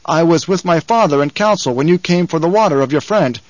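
A man voicing a bold, self-possessed and quick-witted noble boy in an audiobook, speaking directly and demandingly. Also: a little high, animated, no room sound, muffled recording, pitched above the performer's own voice, close to an everyday voice. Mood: bold, assured. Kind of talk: audiobook character acting.

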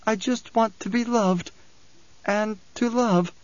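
An anguished young woman seer, played by a male narrator, pitched well up from a man's usual talk. The delivery is soft, strained and intense, swinging on an imperious edge, in a dry but slightly dull audiobook recording. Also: fairly even intonation, normal speed, dry recording, lightly acted. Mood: pleading, whispery.

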